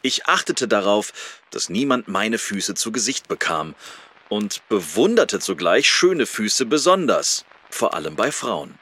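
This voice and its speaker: A man's voice: on the low side, sing-song, a little slow, bright, forceful tone, husky, exaggerated voice. An actor playing a self-conscious male surgeon recalling his illness in a reflective audio play memoir. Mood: confessional. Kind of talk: dramatised first-person memoir in an audio play.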